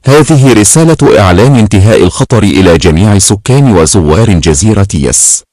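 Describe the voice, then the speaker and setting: A man's voice: fairly low pitch, animated, rapid-fire, darkish tone. A man reading a formal scripted public alert announcement.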